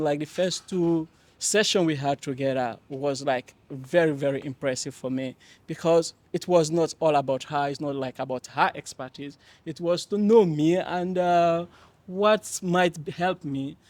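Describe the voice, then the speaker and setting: A young man from Mali on a panel, telling his story with many pauses and restarts. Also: fairly high pitch, dry recording. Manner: hesitant, many fillers and restarts, warm laughs. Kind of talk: panel discussion, personal account.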